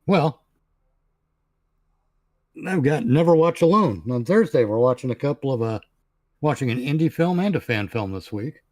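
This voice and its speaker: A man's voice: medium pitch, lively intonation, normal speed, long pauses, darkish tone, no room sound. A man on a podcast panel, talking in dry, wisecracking casual chat.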